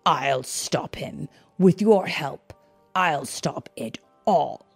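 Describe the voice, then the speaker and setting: Angry, resolute male echidna hero for a storybook, played by a woman: low, husky, grave, forceful. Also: lively intonation, extremely slow, soft background music, heavily acted. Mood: remorseful, resolute.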